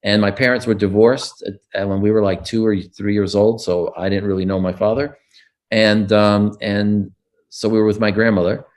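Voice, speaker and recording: A man's voice: on the low side, level tone, normal speed. An older man, recounting his childhood in an oral history interview, with frequent fillers and restarts. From United States.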